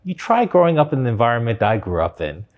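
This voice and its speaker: A man's voice: medium pitch, big pitch swings, quick pace, narrow, tinny audio. A middle-aged man with a Canadian accent, interviewing a guest conversationally as a podcast host.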